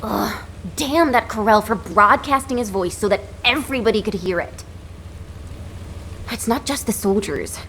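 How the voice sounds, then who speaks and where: An actress as a blunt, level-headed woman fighter in a science fiction game. She talks informally in a mid-pitched voice, with faint noise in the background. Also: moderate pace, a bit bright, natural voice. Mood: frustrated.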